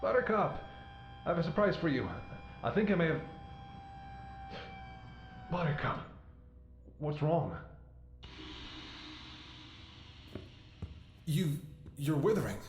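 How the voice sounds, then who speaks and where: A man playing a devoted, anxious doctor in an intimate audio roleplay, speaking to his sick lover in a tender, emotional, halting way. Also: fairly high pitch, big pitch swings, a little hiss or hum. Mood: panicked. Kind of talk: intimate scripted ASMR roleplay acting. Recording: echoey room.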